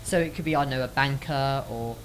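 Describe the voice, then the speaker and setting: A woman's voice: extremely low pitch, a little fast, background noise. A British woman hosting a casual show with young people. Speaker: host of a youth show.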